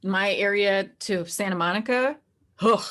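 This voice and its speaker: An American woman chatting casually as an interview guest, animated and anecdotal. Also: mid-range voice, measured pace. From New Jersey, United States. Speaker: interview guest, actor and game master. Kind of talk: casual chat.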